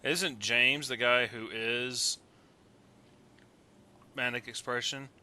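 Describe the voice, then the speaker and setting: A man's voice: mid-range voice, steady tone, slow pace, a crowd in the background. A man in a casual film debate on a voice call, speaking emphatically and repeating words to insist on his point.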